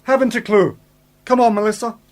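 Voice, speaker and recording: A man's voice: sits high, steady tone, brisk, natural voice. A man acting as a brilliant, confident space-travelling scientist uncle in a radio drama, explaining things in an assured, theatrical way. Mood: dismissive. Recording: noticeable background noise.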